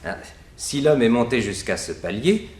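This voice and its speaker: An actor playing an eager detective's sidekick who reasons out theories emphatically in a 1960s French radio drama. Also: male voice, medium pitch, expressive, moderate pace, a little mellow, close to an everyday voice.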